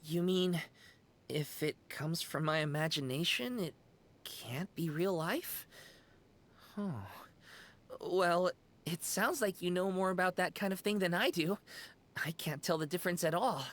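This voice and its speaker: An actress playing a sleepy, muddled young female concierge in a video game, polite and earnest, with dreamy, halting, theatrical storytelling. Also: fairly low pitch, lightly acted. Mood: dreamy, wistful. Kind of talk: scripted video game character dialogue. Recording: game music behind the voice.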